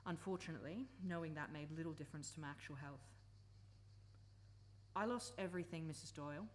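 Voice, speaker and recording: A woman's voice: low voice, brisk, close to an everyday voice. A woman acting an earnest, persuasive campaigner and former country teacher in a live radio play. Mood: earnest, sorrowful.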